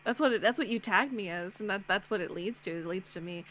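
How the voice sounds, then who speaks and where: A chatty, excitable woman co-hosting a game livestream in casual conversation, prone to tangents. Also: medium pitch, quick pace, a little hiss or hum. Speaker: co-host streamer.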